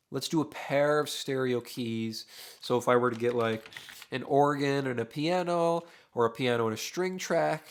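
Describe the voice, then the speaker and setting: A young man, a mixing engineer, talking through a studio tutorial in a casual, thinking-aloud way, his voice a little worn. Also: mid-range voice. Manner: relaxed, thinking aloud with many uhs while working. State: losing his voice. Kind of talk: casual screen-recorded tutorial. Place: home studio with drums behind.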